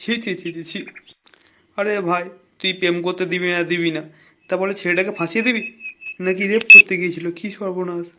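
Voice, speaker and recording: A man playing a high-pitched, nosy cartoon gang elder. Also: steady tone, brisk, noisy background, close to an everyday voice. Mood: indignant. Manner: slangy, inquisitive, exclamatory. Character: nosy, streetwise male leader of idle neighbourhood lads. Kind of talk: comic cartoon dialogue.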